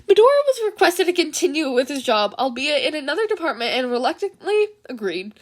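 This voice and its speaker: A woman reading fan fiction aloud as an amateur narrator, with expressive storytelling and casual asides. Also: high voice, a little fast.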